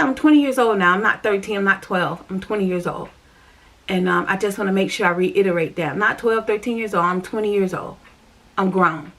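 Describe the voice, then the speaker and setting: Woman, chatty and repetitive, talks straight to her viewers, personal storytelling vlog, mid-pitched everyday voice. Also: a bit bright. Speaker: vlogger.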